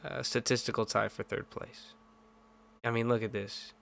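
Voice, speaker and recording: A man's voice: medium pitch, steady tone, normal speed, creaky, fairly bright tone, a little hiss or hum. A man giving urgent, blunt political commentary, pressing his points with emphatic repetition.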